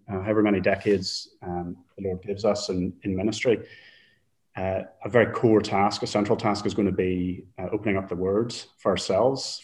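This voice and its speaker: A man from Ireland, a Hebrew lecturer speaking as a guest, thoughtful and halting with fillers. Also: on the low side, a little mellow, poor audio. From Northern Ireland. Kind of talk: casual interview talk.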